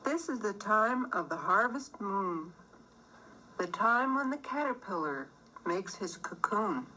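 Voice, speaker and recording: Female children's book reader, lively melodic range, slow pacing, expressive, music in the background. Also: mid-range voice, slight background noise, poor audio. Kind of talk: scripted storybook narration.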